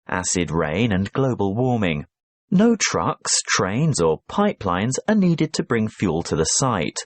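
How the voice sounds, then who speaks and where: A man reading scripted educational narration for a listening test in a measured, instructional way. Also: medium pitch, no room sound.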